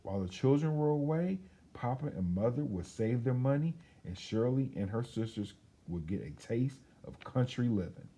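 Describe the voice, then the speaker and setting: Guy reading a kids picture book out loud, mellow slightly husky voice, expressive and chatty. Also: medium pitch, normal speed. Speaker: storyteller reading a children's book aloud. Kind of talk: animated read-aloud with casual asides.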